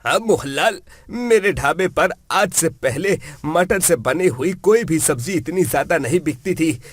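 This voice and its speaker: A man's voice: on the high side, animated, measured pace, husky, dry recording. A man voicing a cheerful, appreciative village eatery owner in a storybook tale. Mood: delighted. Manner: hearty, appreciative. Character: cheerful, grateful village dhaba owner customer.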